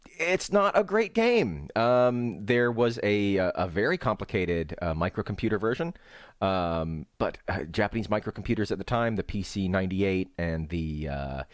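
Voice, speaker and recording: Low-voiced man, halting and dryly wry. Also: expressive. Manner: halting, hesitant delivery with dry, wry remarks. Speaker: speedrunner and streamer, host. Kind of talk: casual game commentary with rambling explanation. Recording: roomy echo, faint game music behind.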